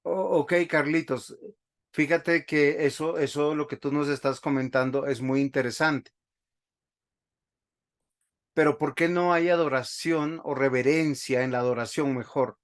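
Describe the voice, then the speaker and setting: A man, a pastor teaching a Bible class over a video call, speaking slowly and stopping often to ask the class questions. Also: a little high, steady tone. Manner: slow, halting, asks the class questions often.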